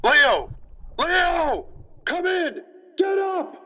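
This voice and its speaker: An actor playing a gruff, jittery but loyal soldier in an audio drama, with a cracking gravelly voice and a folksy, excitable manner. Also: male voice, very high voice, extremely slow, dull audio, heavily acted. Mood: frantic.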